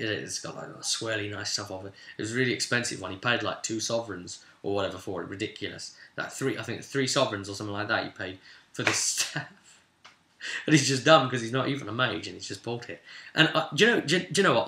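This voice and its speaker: A man speaks in a very bright, forceful voice inside a noticeably echoey room. He is a game master recapping a roleplay session as casual storytelling. His delivery rambles and leans hard on key words, slipping into quoted remarks as he goes. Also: medium pitch.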